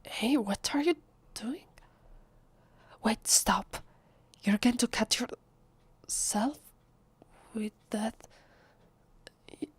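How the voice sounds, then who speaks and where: Secretive vampire girl, acted by a woman for ASMR roleplay: Italian accent, slow, husky whispery delivery, flustered and fragile. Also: medium pitch, steady tone, dry recording. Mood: alarmed, flustered. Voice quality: soft, breathy, often whispery. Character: secretive female vampire, nervous, fragile and defensive. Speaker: voice actress.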